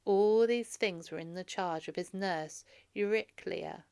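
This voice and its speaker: A woman's voice: fairly low pitch, measured pace, gravelly, a little mellow, clear, resonant tone. A woman reading a story aloud for children as a narrator. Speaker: storybook reader.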